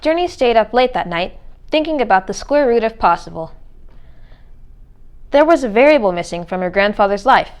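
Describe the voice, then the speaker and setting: A woman's voice: on the high side, animated, moderate pace, pauses often, clear, resonant tone. A woman reading a children's storybook aloud as narrator. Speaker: read-aloud reader.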